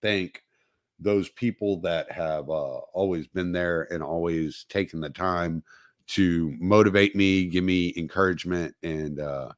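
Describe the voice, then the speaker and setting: Hesitant low-voiced man hosting a livestream. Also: unhurried, no echo, clean studio sound. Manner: hesitant, many fillers and restarts. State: recovering from dental work. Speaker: podcast host. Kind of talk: solo livestream talk.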